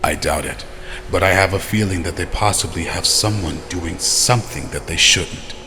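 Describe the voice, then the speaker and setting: A man acts out a vampire elder with a very deep voice. He is watchful and calm, handing out orders with measured authority. The fantasy drama recording rings with long reverb. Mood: measured, commanding. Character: calm, watchful male vampire clan elder commanding his followers. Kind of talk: scripted fantasy voice acting. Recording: long reverb, faint background noise.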